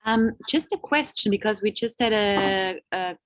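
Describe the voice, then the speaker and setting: A woman with a medium-pitched voice takes part in an online class as a student. She speaks casually and briefly, and the sound is dry with no real room echo. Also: unhurried. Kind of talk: casual short responses.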